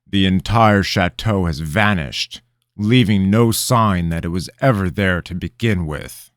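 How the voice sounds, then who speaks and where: A man voicing a grave, formal royal advisor who speaks in a measured way. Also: low-pitched, dry recording, clean studio sound.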